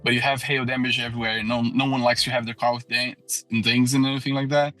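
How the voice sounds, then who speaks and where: A man's voice: mid-range voice, little pitch movement, fast, sharp tone, no room sound, faint music behind, poor audio. A man from Brazil with a Brazilian Portuguese accent, speaking English as a second language with earnest restarts as a podcast guest. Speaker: guest, door-to-door auto hail repair salesman. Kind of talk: casual podcast conversation.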